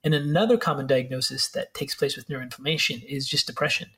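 A man speaking as a guest expert, explaining brain health in a fast, filler-heavy way with restarts. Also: mid-range voice, steady tone, fairly bright tone. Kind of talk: explanatory interview talk.

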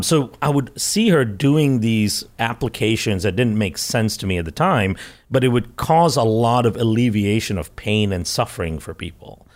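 Podcast guest, middle-aged man, lowish voice, eager and chatty, rambles through his answers. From Malaysia and India. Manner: enthusiastic, rambling, laughs often. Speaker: guest microbiologist. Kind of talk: conversational interview answers.

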